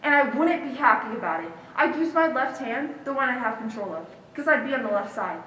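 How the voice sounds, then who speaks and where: A woman's voice: on the high side, animated, a little fast, sharp tone. Actress as a quirky young woman in echoey hall. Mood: earnest, indignant. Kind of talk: comic theatrical stage monologue. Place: stage in a hall. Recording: echoey hall from far off, faint noise.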